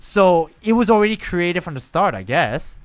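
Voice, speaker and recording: A man's voice: sits high, very animated, moderate pace. A man live streaming a video game, reading dialogue and reacting in a rambling way, often repeating himself.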